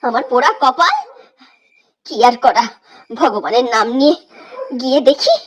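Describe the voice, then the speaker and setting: A woman's voice: high-pitched, lively intonation, moderate pace, breathy edge, rough recording. A woman playing a weary, complaining, superstitious village housewife in a horror cartoon, speaking plaintively in a rustic way over music. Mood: resigned, weary.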